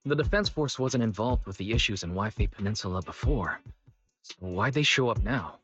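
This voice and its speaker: An actor playing a cocky, theatrical young hero in a video game, with a playful manner. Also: male voice, fairly low pitch, animated, moderate pace, fairly bright tone, highs cut off. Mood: curious. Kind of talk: scripted video game voice acting.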